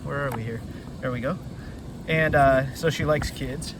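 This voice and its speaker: A man's voice: mid-range voice, unhurried. A man hosting a casual children's read-aloud outdoors, rambling and easily sidetracked as he talks. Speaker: read-aloud storytelling host. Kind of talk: casual chat summarizing a children's book. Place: outdoors beside a river. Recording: noisy outdoor recording.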